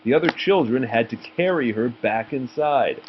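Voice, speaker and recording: A man reading a children's story aloud in an animated style. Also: medium pitch, moderate pace. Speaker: storybook reader. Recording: dull home recording, faint noise.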